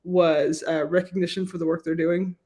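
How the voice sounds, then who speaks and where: A woman with an American accent, a professor and researcher, giving measured, explanatory interview answers with emphatic lists. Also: on the low side, level tone, warm tone, husky.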